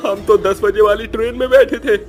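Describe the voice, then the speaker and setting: A male narrator puts on a panicked cartoon passenger. The voice jumps far higher than his own, wailing and pleading in alarm over clear music. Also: fairly even intonation, brisk, lightly acted. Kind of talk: animated horror story acting.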